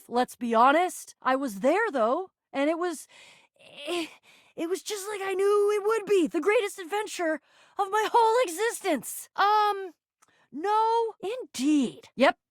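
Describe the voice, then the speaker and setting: A woman voicing an excitable, bragging adventurer in a video game, earnest and theatrical, often stammering. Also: very high voice, measured pace, no room sound, studio quality. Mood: excited, boastful. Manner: stammering, theatrical, swinging from gushing to sulky. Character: excitable, bragging adventurer, a living household object, earnest and dramatic. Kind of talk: video game character voice acting.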